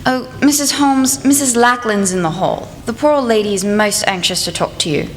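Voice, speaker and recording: A woman's voice: on the high side, moderate pace, natural voice. A woman playing a bossy, grumbling housekeeper at a village inn in a radio play, with a brusque manner. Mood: matter-of-fact. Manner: brusque, scolding. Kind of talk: amateur radio play acting. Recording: echoey hall, some background noise.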